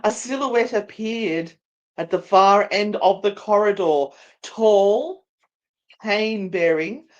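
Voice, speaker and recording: A woman's voice: medium pitch, slow, dull audio. A woman hosting and narrating a dramatic story read in a theatrical, playful way, with casual banter. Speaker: host and narrator.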